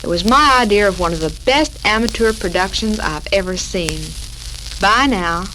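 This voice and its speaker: An American woman hosting a scripted 1940s women's radio talk, reading in a measured, formal way. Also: medium pitch, lively intonation. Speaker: radio show host. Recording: noisy crackling 1940s radio recording.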